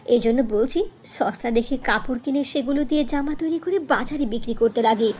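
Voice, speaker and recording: A woman's voice: on the high side, brisk, a little mellow, a little hiss or hum, close to an everyday voice. A woman voicing a caring older village mother-in-law in a cartoon, affectionate, fretful and emphatic. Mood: practical.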